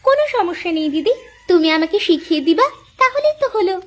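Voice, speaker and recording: A woman acts out a devoted young village wife in a cartoon. The voice is very high and girlish, swinging up and down eagerly as she pleads and defers. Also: big pitch swings, fast. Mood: eager, insistent. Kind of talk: cartoon voice acting.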